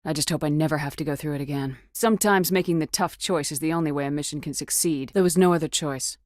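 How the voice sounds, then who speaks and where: Resolute female military commander for a sci-fi game, played by an actress: lower register, firm and clipped, very dry studio sound. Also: fast, dry recording, close to an everyday voice. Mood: serious, direct. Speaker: video game voice actor. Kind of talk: video game character dialogue.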